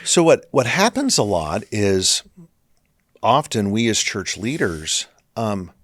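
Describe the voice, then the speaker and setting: A man's voice: on the low side, slow, no room sound, clean studio sound. A middle-aged man from Northern California speaking as a ministry leader in a casual, earnest interview. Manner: earnest, animated storyteller.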